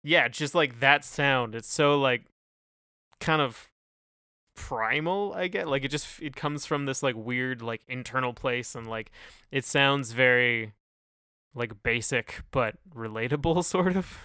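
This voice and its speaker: A man's voice: mid-range voice, unhurried, pushed and bright, clean tone, no room sound, studio quality. A man co-hosting a podcast in casual chat, talking in a hesitant, rambling way full of hedges. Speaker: podcast co-host.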